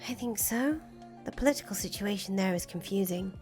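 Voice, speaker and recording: A woman's voice: mid-range voice, fairly quick, darkish tone, lightly acted. A woman voicing an anxious, disillusioned young fangirl in a visual novel, hesitant, earnest and self-deprecating. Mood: self-deprecating, uneasy. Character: anxious, disillusioned young fangirl, soft-hearted conscience of a revolutionary. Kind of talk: visual novel dialogue reading. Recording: music behind the voice. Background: music.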